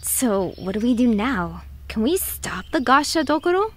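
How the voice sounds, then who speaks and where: A woman playing an earnest, caring younger sister, a student, in an audio drama, with an expressive and insistent delivery. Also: a little high, moderate pace. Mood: uncertain.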